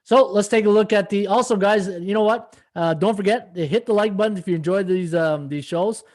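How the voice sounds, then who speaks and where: A slightly hoarse middle-aged man hosting a live sports betting podcast, rambling through stats and viewer comments with many fillers. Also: sits high, fairly quick, a little mellow. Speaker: podcast host and sports handicapper. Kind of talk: casual live-stream betting analysis.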